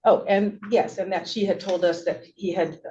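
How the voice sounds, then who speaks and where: A woman's voice: mid-range voice, steady tone, moderate pace, warm tone. A middle-aged woman giving courtroom testimony, hesitant and wordy, often correcting herself as she goes. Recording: dull, noisy courtroom audio.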